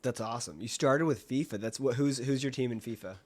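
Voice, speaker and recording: A man's voice: medium pitch, a little fast. A man hosting a podcast in a casual, enthusiastic and informal way. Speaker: podcast host.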